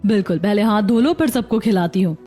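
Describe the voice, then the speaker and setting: Mellow hopeful young stallkeeper woman acting. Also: mid-range voice, fairly quick, lightly acted. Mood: welcoming. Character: hardworking, hopeful young daughter-in-law running a noodle stall. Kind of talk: scripted cartoon dialogue.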